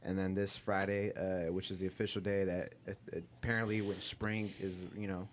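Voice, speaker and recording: Deep-voiced man, flat casual delivery. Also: normal speed, slight background noise. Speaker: podcast co-host. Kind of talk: casual promotional chat with hesitations.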